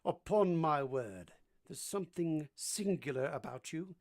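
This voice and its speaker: A woman playing a brusque, moody gentleman master in a vintage-style radio drama, commanding and teasing as they question. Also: low voice, expressive, measured pace. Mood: imperious, probing. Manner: imperious, teasing, theatrical questioning.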